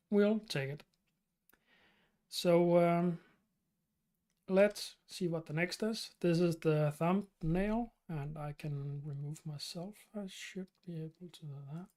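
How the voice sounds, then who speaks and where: A man from Denmark with a Danish accent hosts his channel's live stream Q&A. He talks in a halting way, with many fillers and restarts. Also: on the high side, a little mellow. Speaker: channel host.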